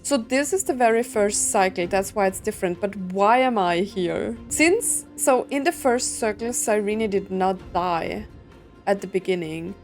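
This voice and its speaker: A woman streams a story game with music playing underneath. She talks casually, thinking out loud and questioning what she sees in an average-pitched voice. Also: unhurried. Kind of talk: casual reaction commentary.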